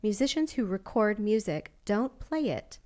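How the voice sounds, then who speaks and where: Woman, podcast guest; medium-pitched voice, eager, rambling story delivery. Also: big pitch swings, measured pace, darkish tone. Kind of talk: casual interview chat.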